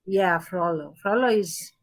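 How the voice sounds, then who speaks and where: A woman's voice: on the low side, unhurried. A woman co-hosting a podcast, giving her opinions in a casual discussion and thinking aloud as she qualifies her points. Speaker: podcast co-host.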